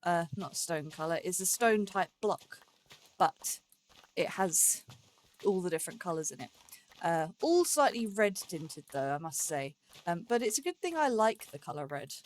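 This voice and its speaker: A woman's voice: lowish voice, lively intonation, normal speed. A woman giving casual let's play commentary as the host of a gaming series, rambling and thinking aloud as she goes.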